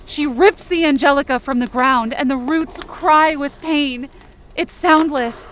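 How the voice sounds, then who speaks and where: A woman playing a frightened young girl who narrates an audio fiction horror story in a tense, breathy, emotional way. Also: sits high, a little slow, fairly bright tone, slight background noise. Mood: panicked, desperate. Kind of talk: first-person dramatic audio fiction narration.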